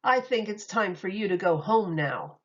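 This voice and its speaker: A woman's voice: mid-range voice, lively intonation, normal speed, fairly bright tone, natural voice. A woman voicing a little girl's mother hosting a party in a storybook, speaking in a firm, scolding tone, in a slightly muffled recording.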